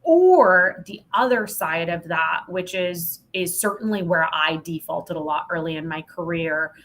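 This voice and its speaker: Candid woman with a lowish voice. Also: unhurried. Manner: candid, emphatic, expressive. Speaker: podcast guest, sales leadership expert. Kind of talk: conversational interview answer.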